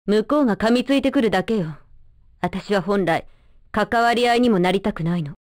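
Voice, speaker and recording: A woman's voice: medium pitch, a little fast, darkish tone, dry recording, studio quality, natural voice. A woman playing a composed, self-possessed fighter who speaks pointedly and with disdain, in anime game style. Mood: cool, probing.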